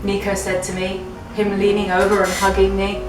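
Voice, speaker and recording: A woman's voice: medium pitch, completely flat delivery, normal speed. A tipsy woman reading a story aloud in a theatrical, playfully hammy, giggly way. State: drunk. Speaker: reader of fan fiction for a comedy web show. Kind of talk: jokey dramatic story reading. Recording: echoey room, distant mic, music underneath.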